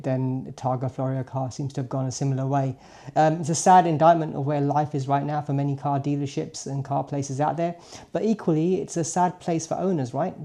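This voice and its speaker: A man's voice: fairly high pitch, gravelly, dark tone. A man in his late forties, a native Punjabi speaker, talking chattily and informally in a daily vlog, often checking in with a questioning tag. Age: late 40s. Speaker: car vlogger. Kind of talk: casual daily vlog monologue to viewers.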